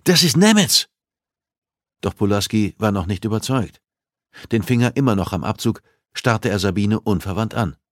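A man's voice: on the low side, moderate pace, dry recording, clean studio sound. A man reading a thriller novel aloud as scripted audiobook narration.

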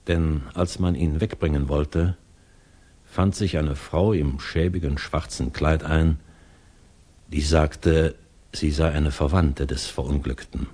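Solemn narrator in old german radio drama, super low husky voice, slow n measured. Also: male voice, fairly even intonation, dark tone, full character voice. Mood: grave, measured. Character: grave male storyteller recounting a grim tale.

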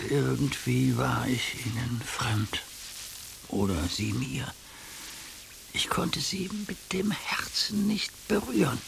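An actor in a German radio play playing an anguished ageing judge who speaks for his neglected heart in a halting, hushed confession. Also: medium pitch, creaky. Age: older. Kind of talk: German radio play acting, intimate monologue. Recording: hissy radio play recording.

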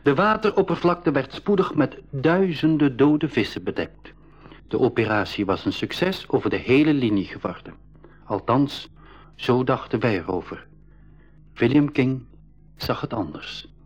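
An expedition member recounting his adventure for a radio drama. His mid-pitched voice tells the story in a measured way. The 1980s recording sounds dull. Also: lively intonation, slightly rough. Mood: measured storytelling. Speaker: narrator. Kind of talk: scripted first-person radio drama narration.

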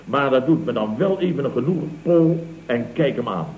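Blustering, wheedling male company boss played by an actor, radio comedy, lively swooping delivery, muffled old tape. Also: mid-range voice, normal speed, close to an everyday voice. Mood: pompous. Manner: theatrical, wheedling, rambling. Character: scheming, blustering, self-pitying male boss of a building firm. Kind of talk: radio comedy drama acting. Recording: dull old radio recording with hiss.

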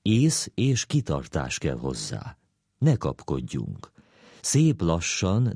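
Very deep-voiced man reading deliberately. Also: dark tone, dry recording, clean studio sound. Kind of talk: dramatic reading of a humorous philosophical essay.

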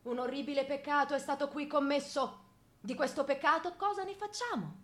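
A woman's voice: sits high, brisk, a bit bright. A woman playing a stern, dogmatic senior priest in a theatrical radio drama, pompous and sermonizing. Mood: argumentative. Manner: pompous, sermonizing, rhetorical questions.

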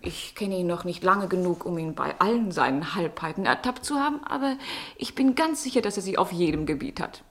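An actress playing a young, charming, witty lady-in-waiting in a German radio drama, teasing, ironic and sharp-tongued. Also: on the low side, expressive, a little fast. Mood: mocking, scornful. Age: young adult.

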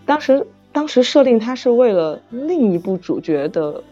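A middle-aged woman author chatting casually about writing, halting and repeating phrases as she searches for words. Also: fairly high pitch, very animated, mellow. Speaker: novel author. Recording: dull lo-fi audio, music underneath. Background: background music.

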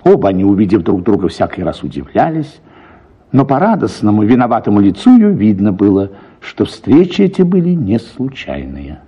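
A man's voice: on the low side, sing-song, normal speed, dark tone, breathy edge, lo-fi sound. A man reading first-person literary narration for a radio production, as an actor telling a story.

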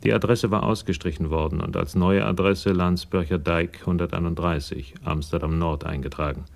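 Deep, creaky male radio narrator. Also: steady tone, normal speed. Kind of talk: scripted narration of a radio crime drama.

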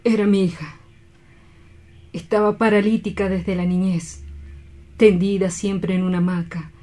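A woman playing a grief-stricken, guilt-ridden doctor in a radio drama, solemn, halting and imploring. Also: medium pitch, level tone, warm tone, close to an everyday voice. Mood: sorrowful.

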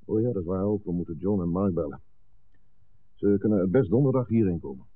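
Low-voiced, practical fisherman father. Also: fast. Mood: reassuring, resolute. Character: steady, reassuring fisherman father, gruffly practical. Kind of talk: 1960s Dutch radio drama acting. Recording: narrow, muffled 1960s radio recording.

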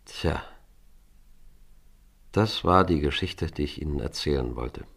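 An actor playing a composed investigator who tells the story in a radio crime drama, calm and precise. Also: male voice, rumbling low, measured pace, creaky, slightly rough, heavily acted. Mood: reflective, narrating.

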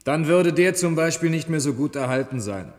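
A man's voice: a little high, expressive, moderate pace, natural voice. Surly henchman played by a man, echoing hall. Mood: matter-of-fact. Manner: blunt, defensive. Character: surly, squeamish male ambulance orderly henchman. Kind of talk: German radio play acting. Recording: reverberant radio play studio.

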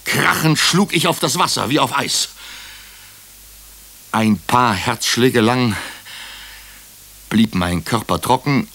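A man playing a nervous doctor who narrates his own night-time ordeal in a suspenseful, deliberate way for a radio crime drama. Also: medium pitch, vocal fry, bright, forceful tone, full character voice. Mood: frantic, alarmed.

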